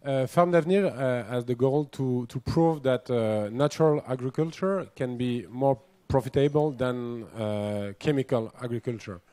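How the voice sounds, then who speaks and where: A man in his thirties with a French accent, a native French speaker, answers on a panel in halting English. His voice is mellow and smooth, and the sound is dry and close. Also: medium pitch, no echo. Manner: halting, many fillers and restarts.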